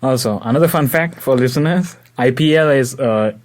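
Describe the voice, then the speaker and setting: A young man with a medium-pitched voice hosts a casual interview show. He speaks informally, asking simple questions and explaining things in asides to the listeners. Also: normal speed. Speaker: podcast host.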